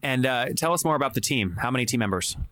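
A man's voice: mid-range voice, lively intonation, quick pace, a bit bright. An American man hosting a business interview, asking probing questions and restating answers in plain terms. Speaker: podcast interviewer, host.

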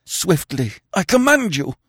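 Narrator playing a bewitched dying king, high and strained, desperate pleas and imperious commands, dry studio sound. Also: expressive, slow, vocal fry, no echo, exaggerated voice. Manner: anguished pleading turning to imperious orders. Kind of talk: audiobook character voice.

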